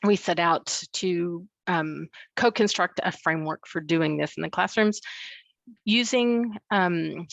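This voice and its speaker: A woman researcher giving an academic presentation at a book launch, speaking in a measured way with many ums and uhs, over a video call. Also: low-pitched, gravelly, a bit bright, no room sound. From not from New Zealand. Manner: measured, with frequent um and uh fillers. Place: New Zealand, speaking remotely.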